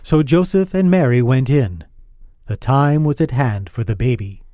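A man narrating a scripted dramatic story in old radio style, earnest and deliberate. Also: medium pitch, mellow, dry recording, studio quality.

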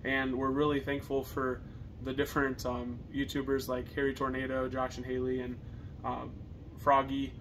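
A young man with an American accent chatting casually to camera as a reseller, with halting phrasing. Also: mid-range voice, monotone. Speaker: reseller co-hosting a video channel. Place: partially finished basement, indoors. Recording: noisy basement room recording.

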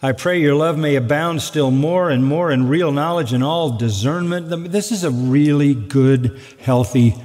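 Older male pastor, measured and earnest pulpit delivery, raised pitch, large reverberant sanctuary. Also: darkish tone. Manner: measured, earnest preaching with dry asides. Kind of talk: expository sermon.

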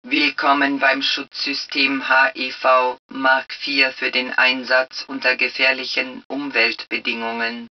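A woman voicing the calm computer of a protective suit in a video game, making impersonal, clipped, measured announcements. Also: a bass voice, vocal fry, far from the mic, dull audio, exaggerated voice.

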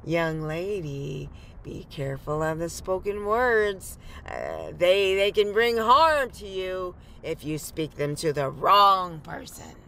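A woman playing a phony fortune teller in a radio horror drama, with a theatrical, drawn-out delivery. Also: on the low side, sing-song, a bit bright, a little hiss or hum, full character voice. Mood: ominous.

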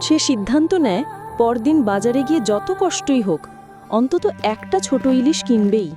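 A woman's voice: on the high side, expressive, normal speed, music in the background. Woman narrating a cartoon story.

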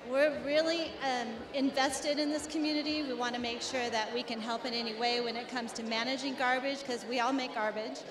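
A middle-aged woman representing a company, explaining her work earnestly into a handheld mic at an indoor expo. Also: sits high. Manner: earnest, explanatory, many restarts. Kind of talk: expo interview. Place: indoor expo hall before a backdrop. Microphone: handheld mic held by the interviewer. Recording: echoey hall with crowd noise.

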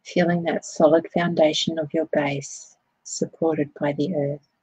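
A woman leading a guided body scan meditation, speaking in a soothing, gentle way with unhurried phrasing. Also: low voice, level tone, slightly rough, dry recording, rough recording.

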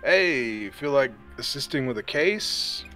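A man's voice: medium pitch, lively intonation, takes it slowly, bright, forceful tone, soft background music. Playful young man reading game dialogue. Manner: playful, reads dialogue in character. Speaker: gameplay co-host. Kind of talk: casual gaming chat, reading character lines.

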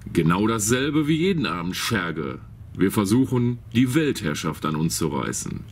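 An actor playing a scheming villain boss who gives orders in a parody radio play. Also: male voice, on the low side, sing-song, moderate pace, a little hiss or hum. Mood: commanding. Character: scheming villain boss, a minister plotting world domination.

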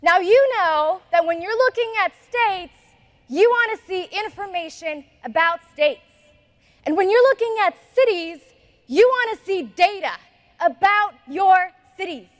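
A young woman from Ethiopia, a native Amharic speaker, giving a lively product demo on a large conference stage. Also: extremely high pitch, a little slow. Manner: lively, upbeat presenter. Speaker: software engineer presenting.

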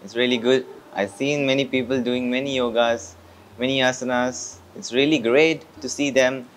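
A young man speaks at a middling pitch in a casual testimonial. A faint layer of room noise sits behind his voice. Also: fairly even intonation, moderate pace. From Saudi Arabia. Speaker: yoga competition participant. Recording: clear background noise and ambience.

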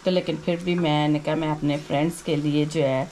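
A woman with a sore, hoarse throat hosting a home cooking video, chatting her way through each step. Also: deep voice, level tone, brisk, darkish tone, a little hiss or hum, low-quality recording. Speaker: cooking channel host. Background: frying and sizzling in a kitchen.

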